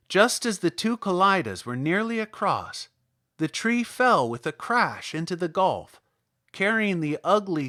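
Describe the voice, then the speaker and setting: A man narrates a storybook as an audiobook. His voice sits a little high and moves in animated swings. The sound is dry and close. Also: no room sound.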